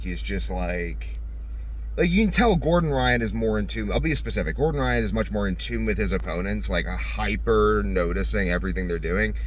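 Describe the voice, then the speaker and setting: Young male vlogger, fairly low pitch, casual and musing, self-questioning delivery, slightly noisy ambient recording. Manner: musing, repeats phrases, poses questions to himself. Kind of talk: casual rambling monologue.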